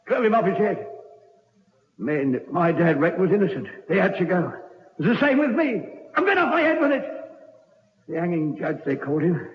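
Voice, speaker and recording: An actor playing a pompous executioner with an unhinged streak, theatrical, in an old radio drama. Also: male voice, high-pitched, very animated, moderate pace. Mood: unhinged confession. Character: pompous executioner cracking into unhinged murderous grief. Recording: muffled old radio recording, echoey.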